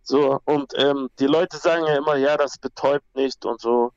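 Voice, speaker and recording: A man's voice: mid-range voice, steady tone, normal speed, darkish tone, highs cut off. A young man from Peru, a guest talking casually and bluntly, with slang and many restarts. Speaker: guest, MMA fighter. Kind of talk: casual conversation.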